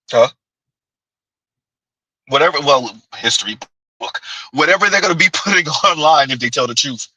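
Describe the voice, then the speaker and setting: A man's voice: a little high, sharp tone, slightly rough, dry recording, low-quality recording. A man hosting a livestream, giving casual commentary, reading chat aloud and laughing often as he answers viewers. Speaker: livestream host.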